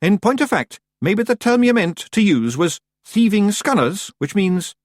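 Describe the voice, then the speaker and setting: A squeaky Scottish fairy chief. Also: male voice, big pitch swings, unhurried, no room sound, clean studio sound. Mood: eager. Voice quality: squeaky, shrill. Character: tiny nervous fairy clan chief, fast-talking and evasive.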